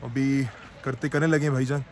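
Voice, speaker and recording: A man's voice: medium pitch, flat delivery, normal speed. A man presenting a casual travel vlog on location, chatting to viewers and mixing Urdu with English. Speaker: travel vlogger and host. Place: outdoors on location and in a vehicle. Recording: loud outdoor and vehicle noise.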